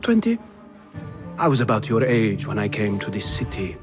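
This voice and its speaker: An actor playing a shrewd, proud middle-aged male governor in a video game, deliberate, dry and haughty. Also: on the low side, sing-song. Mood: weary, defiant. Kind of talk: cinematic video game acting. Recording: music behind the voice. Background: music.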